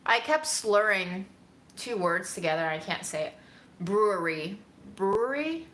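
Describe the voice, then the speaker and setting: A woman's voice: medium pitch, expressive, unhurried, fairly bright tone, a little hiss or hum. A woman chatting casually as she answers viewer questions, musing and easygoing. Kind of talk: casual Q&A chat.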